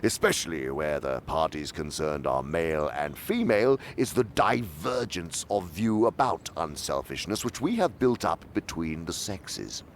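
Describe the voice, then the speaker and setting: A man's voice: deep voice, slight background noise, heavily acted. A man playing a suave, pompous senior demon mentor in a radio drama, lecturing theatrically and with relish. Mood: sly, instructive.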